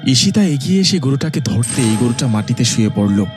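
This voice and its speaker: A man's voice: medium pitch, big pitch swings, a little fast, over music, natural voice. A man voicing an anxious, earnest young village son who narrates and acts in a horror cartoon. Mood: plain, storytelling. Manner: earnest, pleading.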